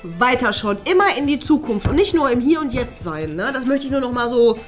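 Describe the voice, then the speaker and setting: A woman's voice: a little high, lively intonation, brisk, soft background music, natural voice. A woman acting a condescending, exasperated former headmistress in a comedy sketch, lecturing pointedly. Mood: indignant, condescending. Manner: pointed, lecturing, ends phrases with "ne?". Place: small bright meeting room.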